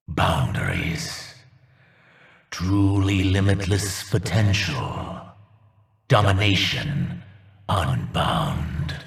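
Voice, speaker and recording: A man voicing a menacing, sadistically playful monster villain in a video game, with a raspy, theatrical and condescending delivery. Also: low-pitched, huge pitch swings, heavily acted. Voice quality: gravelly, raspy. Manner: slow, theatrical, mockingly condescending.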